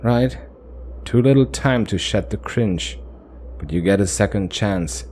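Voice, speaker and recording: Man voicing a brash, mocking scavenger woman, pitch lifted. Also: unhurried, a little hiss or hum, close to an everyday voice. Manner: flat, businesslike, casually teasing.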